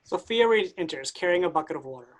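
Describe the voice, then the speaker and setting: A woman's voice: on the low side, lively intonation, brisk, poor audio. A woman reading out stage directions as scripted narration for a play reading.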